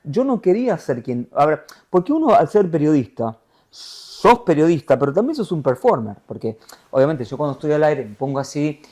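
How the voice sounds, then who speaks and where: A middle-aged man from Argentina with an Argentine Spanish accent, a TV news anchor being interviewed, talking in a lively storytelling way. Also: fairly high pitch, normal speed. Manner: animated storyteller, voseo, acts out quotes. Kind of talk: conversational interview.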